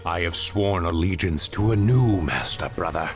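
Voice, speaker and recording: An actor playing a smug, menacing demon-elf villain in a fantasy video game, taunting and theatrical. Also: male voice, low voice, moderate pace, faint music behind, close to an everyday voice. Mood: cold resolve.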